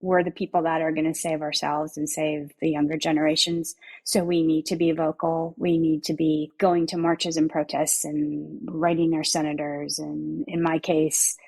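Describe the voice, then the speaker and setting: A soft-spoken woman in her fifties with a quiet voice, talking casually and wryly as an interview guest. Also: sits low, little pitch movement, moderate pace, vocal fry, hardly any pauses, slightly rough, low-quality recording. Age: 50s. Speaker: author, guest being interviewed.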